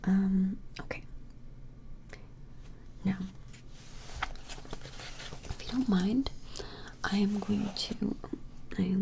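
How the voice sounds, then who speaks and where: A woman doing a gentle ASMR personal attention role-play as an attentive shopkeeper, whispering breathily and a little hesitantly, close to the microphone. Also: mid-range voice, a little hiss or hum. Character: attentive vampire shopkeeper measuring a creature customer.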